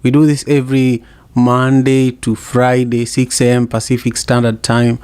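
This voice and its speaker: A man's voice: medium pitch, moderate pace, clear, resonant tone, no echo. A man from Africa hosting a casual live audio-room podcast, speaking steadily with lots of "uh, you know". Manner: steady, filler-heavy with frequent "uh, you know". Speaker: podcast host. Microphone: phone app.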